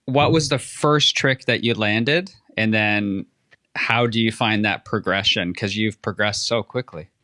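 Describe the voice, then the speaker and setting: A man's voice: lowish voice, measured pace, bright, forceful tone, dry recording, studio quality. A man hosting a podcast, chatting casually and encouragingly with his guest in an upbeat interview. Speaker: podcast host.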